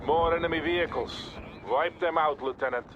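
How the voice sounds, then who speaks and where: A stern, weary Soviet colonel from a war game, acted by a man, with a Russian accent. The voice sits a little high for a man and gives clipped commands. Battle noise is loud behind it. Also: normal speed, muffled recording, close to an everyday voice. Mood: firm, commanding. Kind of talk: scripted video game cutscene acting.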